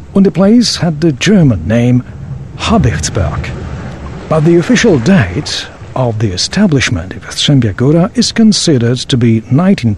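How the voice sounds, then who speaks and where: A man's voice: a little high, darkish tone, slight background noise. A man playing a knowledgeable, obliging local tour guide in a scripted audio drama, speaking in a formal, presentational way.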